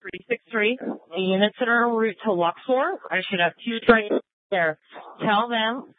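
A woman's voice: on the high side, unhurried, no echo. Narrow police radio, directive American woman. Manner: directive, procedural.

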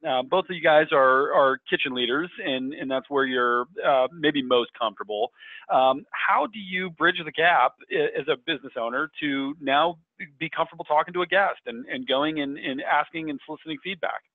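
A man co-hosting an interview, posing questions in a measured way with small restarts. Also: fairly high pitch, no room sound. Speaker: co-host. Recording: dry, tinny phone-like audio.

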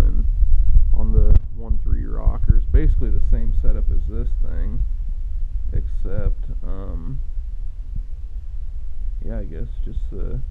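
A man's voice: lowish voice, vocal fry, phone-quality sound, very noisy. A man giving a rambling, laid-back walkaround of his home workshop, with many fillers and restarts, recorded on a handheld camera indoors. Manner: laid-back, self-deprecating. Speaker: mini bike builder. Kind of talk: casual walkaround vlog.

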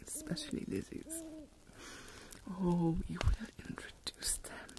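Woman performing a nervous older mother, high-pitched whisper, plaintive, melodramatic, self-pitying, ASMR period roleplay. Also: very animated. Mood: wistful, fretting. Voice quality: breathy whisper. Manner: plaintive, dramatic, drawn-out sighing phrases.

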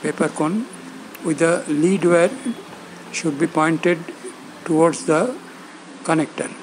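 A man narrating instructions step by step, speaking in short, halting phrases with pauses between them. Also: a little high, steady tone, slight background noise, low-quality recording. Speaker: instructor demonstrating loudspeaker assembly.

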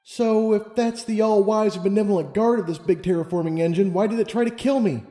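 A man's voice: high-pitched, a little fast, dark tone, voice pushed up, close to an everyday voice. A man voicing an anxious, defiant young female unicorn pony in a storybook reading, questioning and agitated. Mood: skeptical. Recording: long reverb, music underneath.

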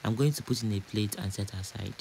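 A man's voice: on the low side, fast, gravelly, a little mellow, a little hiss or hum, rough recording. A man from Nigeria with a Nigerian accent, chatting casually and repeating phrases as a home cook narrating a cooking vlog.

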